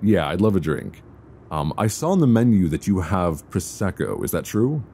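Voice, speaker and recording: Casting: male actor as tender, teasing boyfriend for ASMR audio roleplay; extremely low, gravelly voice with supple intonation, close binaural mic. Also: big pitch swings. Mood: pleased, relaxed. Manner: soft teasing, unhurried, conversational with hesitant pauses. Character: affectionate, playful boyfriend on a luxury flight. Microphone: close binaural microphone. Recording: close binaural recording, faint background noise.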